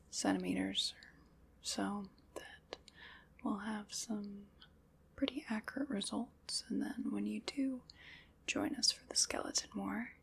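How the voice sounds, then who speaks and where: ASMR roleplay: woman voicing a wry undead skeleton commander, slow gravelly whisper. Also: on the high side, a little hiss or hum. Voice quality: soft whispering. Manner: dry, teasing, unhurried.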